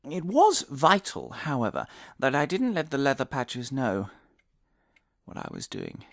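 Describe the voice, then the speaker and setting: A man's voice: medium pitch, huge pitch swings, measured pace, vocal fry, no echo, studio quality, exaggerated voice. Man acting a pompous paranoid professor, swooping delivery. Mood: earnest, self-satisfied. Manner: earnest, stammering, pompous, theatrical. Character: deluded paranoid male professor, eccentric conspiracy theorist. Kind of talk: scripted comic audio drama monologue.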